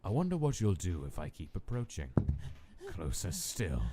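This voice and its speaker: A man voicing a cold, irritable skeleton scientist who dictates research notes in a sophisticated, measured, detached way. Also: deep voice, huge pitch swings, very noisy. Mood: clinical, observing. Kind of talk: dramatic narration of research notes. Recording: music behind the voice.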